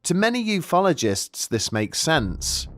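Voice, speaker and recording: A man's voice: on the high side, sing-song, unhurried, a bit bright, dry recording, clean studio sound. Male documentary narrator over music. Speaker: narrator of a mystery podcast. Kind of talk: scripted documentary storytelling narration. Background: background music.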